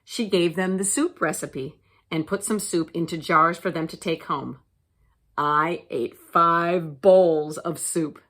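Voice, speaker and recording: A woman teacher reading a children's storybook aloud with deliberate, expressive phrasing for young listeners. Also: on the low side.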